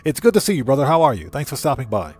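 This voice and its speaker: A man's voice: mid-range voice, big pitch swings, racing, a little mellow, faint music behind. A man hosting a livestream, chattily thinking aloud as he gives casual video game commentary and talks to viewers. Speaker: livestream host.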